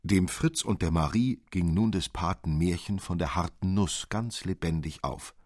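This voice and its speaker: A man narrating a literary audiobook expressively, in a measured, careful storytelling manner. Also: low-pitched, darkish tone, no echo, clean studio sound.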